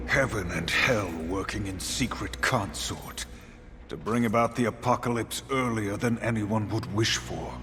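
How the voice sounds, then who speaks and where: A man's voice: on the low side, measured pace, sharp tone. A man playing a solemn, commanding horseman of the apocalypse in a video game, speaking formally. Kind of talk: video game cutscene acting. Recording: long reverb, music behind.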